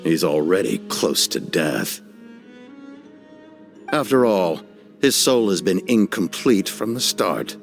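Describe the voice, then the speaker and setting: Casting: grave game warrior, cold and commanding, gravelly, slow, deliberate delivery. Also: male voice, sits low, very animated, soft background music, heavily acted. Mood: stern. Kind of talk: dramatic video game voice acting.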